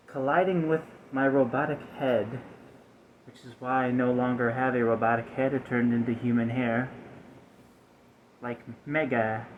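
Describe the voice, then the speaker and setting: A man plays a malfunctioning male robot in a soft-spoken ASMR roleplay, mid-pitched and stiff. The phrasing is formal, halting and over-precise, with an aggrieved air. The recording is dull, with some hiss behind it. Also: lightly acted.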